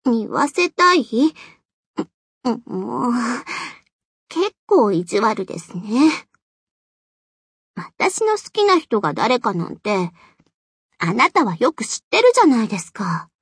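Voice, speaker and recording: Shy, breathy schoolgirl game character. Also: on the high side, sing-song, takes it slowly, long pauses, a bit bright, no room sound, clean studio sound, heavily acted. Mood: flustered, pouting. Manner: hesitant, stammering, modest, polite. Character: shy, earnest, flustered high school girl student council vice president. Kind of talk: Japanese game character voice acting.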